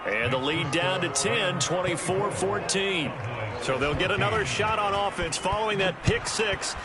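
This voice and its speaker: A man's voice: sits high, moderate pace, close to an everyday voice. A man playing a play-by-play football announcer in a video game, calling yardage and names in clipped, professional phrases. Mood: brisk, focused. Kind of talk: scripted sports game commentary. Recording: loud crowd and stadium game noise. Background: roaring crowd and game noise.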